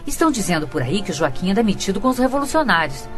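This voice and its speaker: A woman's voice: medium pitch, very animated, rapid-fire, over music, close to an everyday voice. A woman with a Brazilian accent playing a worried, scolding older mother-in-law in a radio drama, agitated and gossipy. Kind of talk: Brazilian radio soap acting.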